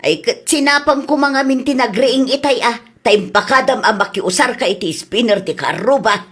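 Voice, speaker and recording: An actress playing a nagging, disapproving older mother with a husky voice and an Ilocano accent in a radio comedy drama. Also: on the high side, normal speed, fairly bright tone. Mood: chiding. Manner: chiding.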